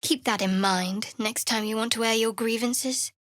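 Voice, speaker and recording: A woman playing a guarded, sardonic young female cleric of a dark goddess in a video game, with a dry, wry delivery. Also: fairly high pitch, steady tone, normal speed, no room sound, close to an everyday voice. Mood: soft, companionable. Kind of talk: video game character dialogue. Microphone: close studio microphone, dry.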